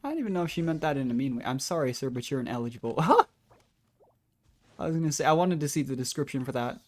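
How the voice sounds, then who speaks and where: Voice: mid-range voice, big pitch swings, fast talker. A game streamer thinking aloud in casual, musing commentary while playing. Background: faint game music.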